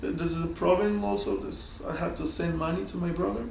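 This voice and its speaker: A man from Peru with a Peruvian Spanish accent talks in halting, broken English full of restarts and laughs. His voice sits high and sounds distant from the mic, bouncing around an echoey room, with faint ambience behind him. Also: fairly even intonation, creaky, a little mellow, slight background noise. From Peru, Amazon region. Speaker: flatmate renting out a room. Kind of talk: casual chat in broken English.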